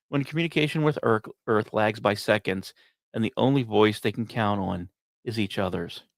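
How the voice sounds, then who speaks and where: A man hosting a podcast, reading scripted space and science narration with occasional asides, in dramatic storytelling phrases broken by short pauses. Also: medium pitch, dry recording, clean studio sound. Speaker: podcast host.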